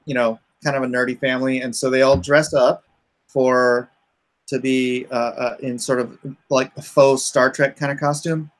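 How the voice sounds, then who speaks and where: Man, middle-pitched voice, casual chat, halting delivery, searching for words, creator explaining his work. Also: little pitch movement. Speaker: comic book creator explaining his project.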